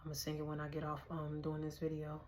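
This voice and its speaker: A woman's voice: low voice, monotone, fast, dark tone. A woman, giving a casual, slangy tarot reading and thinking aloud as she goes. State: hoarse. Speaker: tarot reader.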